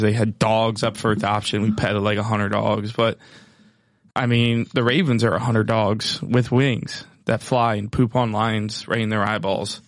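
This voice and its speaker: Slightly hoarse, excitable man. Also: lowish voice, no room sound. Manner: excitable, bro-ish slang. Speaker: podcast co-host. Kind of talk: casual sports chat.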